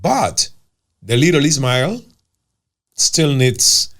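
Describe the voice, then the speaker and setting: A man's voice: mid-range voice, big pitch swings, slow, a bit bright. Casting note: male host, Cuban Spanish accent, native Spanish speaker, earnest and emphatic, mid-range voice. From Cuba. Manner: earnest, emphatic, with frequent restarts. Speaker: podcast host. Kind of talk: casual conversational interview.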